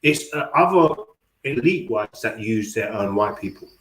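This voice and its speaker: A man's voice: on the low side, animated, moderate pace, a bit bright. A man chatting casually in slangy, rambling talk that often halts and starts over. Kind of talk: casual chat. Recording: very echoey, roomy sound.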